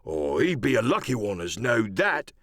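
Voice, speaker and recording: A man voicing a surly, drunken buccaneer with a deep growl in an audiobook. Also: sing-song, moderate pace, a bit bright, no room sound, studio quality. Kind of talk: audiobook radio-play character acting.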